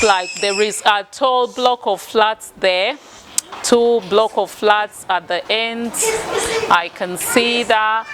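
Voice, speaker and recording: A woman from Uganda hosting a casual vlog tour, chatting straight to her viewers and repeating herself as she goes. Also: medium pitch. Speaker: video host. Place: high up on a sixth-floor block of flats. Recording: noisy ambient vlog sound.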